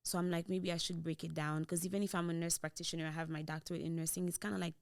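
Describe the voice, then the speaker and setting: A young woman from Haiti with a Haitian Creole accent, a podcast guest telling her story in relaxed chat and slipping into Creole, on a studio boom mic. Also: rapid-fire, no echo. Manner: switches into Creole mid-sentence, relaxed storytelling. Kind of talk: casual interview chat. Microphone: boom-mounted studio mic.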